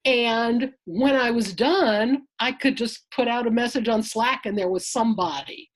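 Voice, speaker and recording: Husky woman in her sixties. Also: a little high, measured pace. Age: 60s. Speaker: trip participant giving a testimonial. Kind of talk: casual personal recollection.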